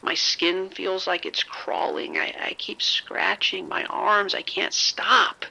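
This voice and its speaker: An actor playing a frightened, bitten man who is falling sick, halting and whispery in a horror audio drama. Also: high-pitched, huge pitch swings, creaky, sharp tone, full character voice, low-quality recording. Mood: anxious, sickly. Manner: halting, whispery, repeating himself. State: sick, weak, faltering. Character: frightened, sickening bitten man, unravelling and rambling.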